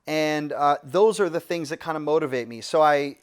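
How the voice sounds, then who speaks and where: A man's voice: a little high, moderate pace, smooth. A man, a music producer, casually telling a studio story with lots of fillers and restarts. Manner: relaxed, rambling with many fillers and restarts.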